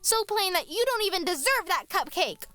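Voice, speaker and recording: High, bright, spoiled little girl. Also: animated, moderate pace, no room sound, soft background music, full character voice. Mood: scornful. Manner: snobbish, petulant. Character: spoiled vain young girl, haughty and defensive. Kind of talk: horror radio drama acting.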